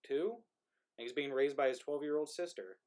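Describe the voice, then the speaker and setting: A man's voice: mid-range voice, normal speed, darkish tone, lightly acted. A man playing a dead soldier's ghost in a play reading, wry and resentful, with a conversational, needling delivery. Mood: sardonic. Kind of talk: play reading, modern drama.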